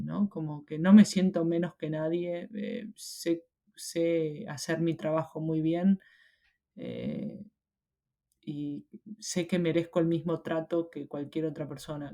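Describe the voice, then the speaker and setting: A young woman from Argentina with an Argentine Spanish accent talks through her thoughts in a relaxed interview. Her voice is mellow and dark. She hesitates a lot and restarts her phrases. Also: low voice. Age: young adult. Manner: reflective, many hesitations and restarts. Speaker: interview guest. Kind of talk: casual interview conversation.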